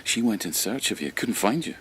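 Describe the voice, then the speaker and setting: An actor playing a steady court lieutenant in an audio drama, questioning in a measured, insistent way. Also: male voice, on the low side, a little hiss or hum. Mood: probing, insistent. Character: steady, probing male lieutenant of the imperial court. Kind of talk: low-key audio drama acting.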